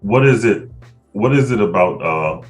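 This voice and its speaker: A man in his thirties hosting a casual interview, asking halting questions and affirming often. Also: lowish voice, expressive, faint music behind. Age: 30s. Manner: lots of affirming "yeah" backchannels, halting questions. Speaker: podcast host.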